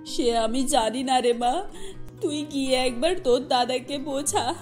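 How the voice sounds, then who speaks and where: A woman's voice: high voice, a little slow, a little mellow, faint music behind. A woman voicing an aggrieved, weepy elderly mother in a cartoon story. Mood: weepy complaint.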